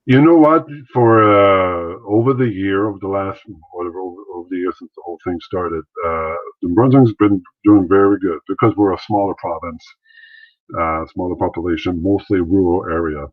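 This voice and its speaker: Man, very low voice, tinny call audio, rambling casual talk. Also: normal speed, no echo. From Maritimes, Canada. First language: French. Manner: rambling, many restarts and fillers. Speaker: interview guest, former wrestler and actor. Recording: thin phone-like line, dry.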